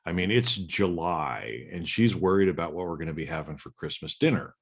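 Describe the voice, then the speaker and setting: A man's voice: sits low, expressive, a little fast, slightly rough. A man hosting a podcast, asking questions in a rambling, repetitive way. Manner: rambling, repeats words while framing questions. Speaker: podcast host. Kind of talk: conversational interview.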